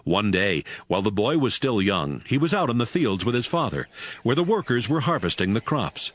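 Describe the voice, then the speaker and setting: Low-pitched, expressive male scripture narrator. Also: normal speed.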